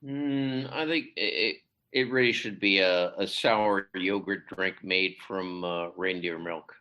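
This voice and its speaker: A man speaking as a literary translator in a podcast interview, hesitant with many fillers and restarts, his pitch climbing sharply when he stresses a point. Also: unhurried, muffled recording. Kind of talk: conversational interview.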